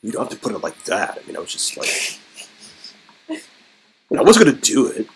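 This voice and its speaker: A man's voice: lowish voice, wildly swooping pitch, brisk, breathy edge. A man reading a story game aloud in a casual, wry way, mixing dramatic narration with informal banter. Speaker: reader performing a story game.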